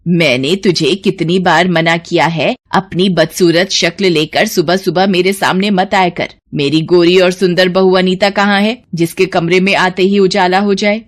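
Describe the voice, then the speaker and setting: A woman's voice: fairly low pitch, fairly even intonation, normal speed, few pauses, lightly acted. A woman voicing a harsh, contemptuous older mother-in-law in a cartoon family drama, scolding and sneering dramatically. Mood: scornful. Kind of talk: Hindi cartoon family melodrama acting.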